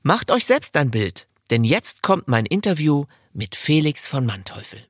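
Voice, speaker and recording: A man's voice: fairly high pitch, sing-song, a little slow, fairly bright tone, no echo, studio quality. A man hosting an audiobook podcast, presenting and interviewing guests. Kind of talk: scripted introduction and interview.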